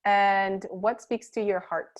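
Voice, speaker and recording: A woman's voice: mid-range voice, fairly even intonation, slow pace, muffled recording. Chatty woman hosting a podcast. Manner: upbeat, chatty, with small restarts while reading a guest bio. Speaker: podcast host. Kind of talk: podcast interview.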